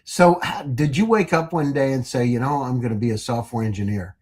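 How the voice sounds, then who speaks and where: A man's voice: medium pitch, brisk, a little mellow. A man hosting an interview, asking curious follow-up questions in a casual way. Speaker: podcast host.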